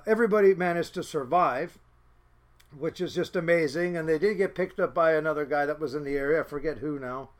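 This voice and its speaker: Rambling high-voiced middle-aged man. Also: gravelly. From Seattle area, USA. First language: Norwegian. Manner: rambling, many restarts and fillers. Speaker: fisherman's son recalling childhood. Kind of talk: casual reminiscing.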